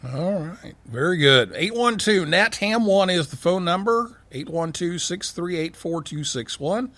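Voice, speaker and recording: A man hosting a call-in radio show in a casual, halting, informal way. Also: sits high, expressive, bright, forceful tone, dry recording. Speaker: radio show host, science teacher.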